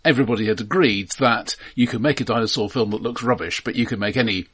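A man's voice: fairly low pitch, fast talker, a bit bright, husky. A man co-hosting a podcast, discussing films casually in a dry, analytical manner. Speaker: podcast co-host.

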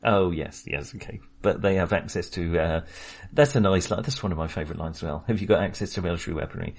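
A man's voice: low voice, fast, darkish tone, breathy edge. A man co-hosting a casual film podcast, talking with many fillers and small laughs. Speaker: podcast co-host.

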